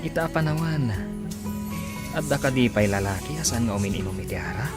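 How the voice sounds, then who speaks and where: A man's voice: medium pitch, expressive, a little fast, lightly acted. A man acting a smitten, teasing young soldier courting a woman in a radio drama. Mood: earnest wooing. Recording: loud music under the voice.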